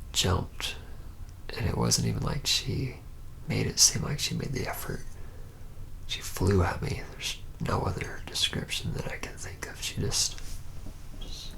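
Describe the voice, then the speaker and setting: A man playing a haunted, reluctant young vampire in a whispered ASMR roleplay, telling his story haltingly in a breathy, gravelly voice. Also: lowish voice, pushed and bright, exaggerated voice, low-quality recording. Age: young adult. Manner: halting, hesitant storytelling with heavy pauses.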